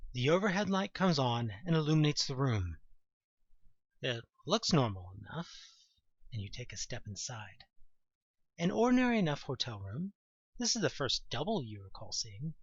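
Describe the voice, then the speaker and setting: Guy narrating a spooky audio drama in second person, super lively pitch, dry slightly muffled recording. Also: breathy edge, dry recording.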